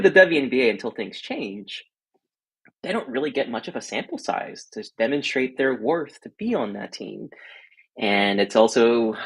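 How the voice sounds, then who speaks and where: A man's voice: mid-range voice, slightly rough, poor audio. A man who is a guest sports writer, giving casual, emphatic sports commentary with asides. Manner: emphatic, building arguments with asides.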